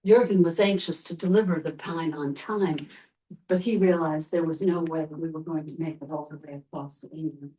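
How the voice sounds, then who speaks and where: A woman storyteller playing a wistful, sea-loving 19th-century Norwegian captain's wife, telling her tale in the first person with a deliberate, dramatic delivery. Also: deep voice, steady tone, warm tone, distant mic, close to an everyday voice, low-quality recording. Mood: grave, dramatic.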